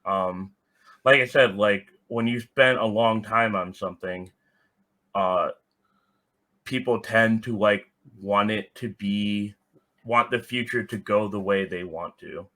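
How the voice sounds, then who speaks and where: A man's voice: fairly low pitch, slow, pushed and bright. A man giving casual, opinionated talk as a podcast guest, blunt in his manner. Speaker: podcast guest, soccer fan.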